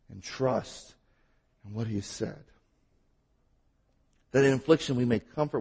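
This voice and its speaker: Husky Mississippi-accented pastor preaching. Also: male voice, fairly high pitch. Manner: fast-talking at times, animated storytelling. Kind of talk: sermon.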